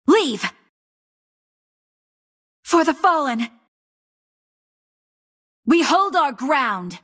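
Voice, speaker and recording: A woman voicing a noble, sorrowful undead queen in a video game, with a breathy voice and a solemn, theatrical, regal delivery. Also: high-pitched, pushed and bright, heavily acted. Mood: commanding, fierce. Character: sorrowful, noble undead queen guarding her fallen kingdom. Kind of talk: video game hero voice lines.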